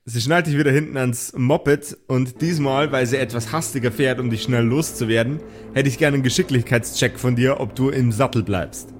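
A man's voice: medium pitch, moderate pace. A man improvising narration as the game master of a tabletop role-playing audio play.

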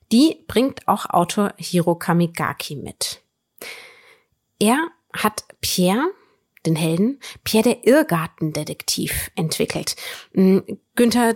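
A woman with a slightly low voice hosts a book recommendation show. She talks enthusiastically, as someone presenting tips to listeners. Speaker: podcast host reviewing books.

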